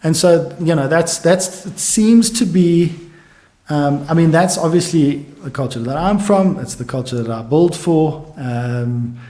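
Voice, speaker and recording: A man chats as a podcast guest, working his ideas out aloud in halting restarts. His voice sits a touch high for a man. The room around him rings with echo. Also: dark tone. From South Africa. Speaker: guest economist. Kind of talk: casual podcast conversation.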